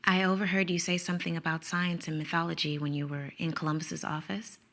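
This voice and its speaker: Woman doing an unsure teacher, low, kinda gravelly, halting. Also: little pitch movement, fairly bright tone. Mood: hesitant. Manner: hesitant, halting. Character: conflicted woman circus teacher, hesitant.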